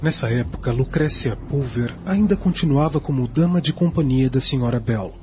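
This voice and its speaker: A man's voice: medium pitch, lively intonation, moderate pace, slight background noise. A man reading scripted narration as the storyteller of a radio drama. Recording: dull recording with loud music.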